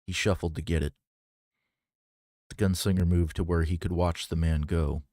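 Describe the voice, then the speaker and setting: A man reading a novel aloud in a measured, dramatic storytelling manner. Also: deep voice, steady tone, warm tone, no echo, clean studio sound. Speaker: audiobook reader. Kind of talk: scripted fiction narration.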